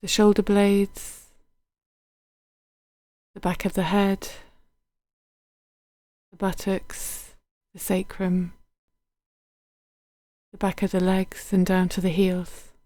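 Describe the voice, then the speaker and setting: A woman guiding a body scan meditation in a gentle, unhurried, soothing manner, letting her phrases end softly. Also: medium pitch, level tone, no room sound. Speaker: meditation guide.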